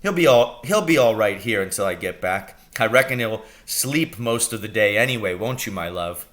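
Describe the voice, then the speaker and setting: A man reading a steady, caring father who speaks firmly and reassuringly. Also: fairly low pitch, normal speed. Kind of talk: audiobook character reading.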